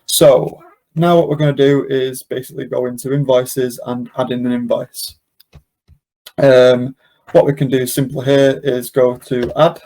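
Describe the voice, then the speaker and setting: A man hosting a webinar, casually talking through a software demonstration step by step without a script. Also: medium pitch, little pitch movement, a little slow, low-quality recording. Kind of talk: unscripted software walkthrough.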